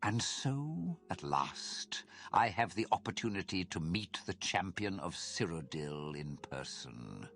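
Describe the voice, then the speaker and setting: A sinister spirit of cursed armour from a fantasy game. The voice is very low and slow, with phrases that swoop and linger. It is formal, suave and theatrical, full of courtly menace. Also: male voice, very animated, fairly bright tone, faint music behind, exaggerated voice. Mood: eager, suave. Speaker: video game character in a fantasy mod.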